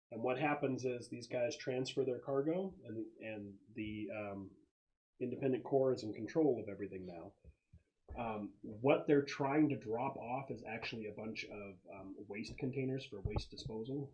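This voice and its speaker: A man runs a tabletop roleplaying game as its game master. His voice sits at a middling pitch. He explains the scene casually, making it up as he goes. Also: mellow, highs cut off.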